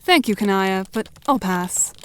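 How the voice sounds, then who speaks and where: A woman's voice: medium pitch, measured pace, close to an everyday voice. A woman playing a composed, bossy teenage girl who speaks formally and dryly in an audio drama. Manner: formal, articulate, deadpan. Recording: clearly noisy home mic, clicks.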